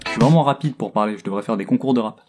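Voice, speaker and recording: A man's voice: lowish voice, very fast, music in the background. A man in his twenties with an accent from France, hosting a casual, humorous video blog in a cheeky, slangy way, addressing viewers directly with teasing asides. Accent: French from France. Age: 20s. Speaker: host of a video blog about living abroad.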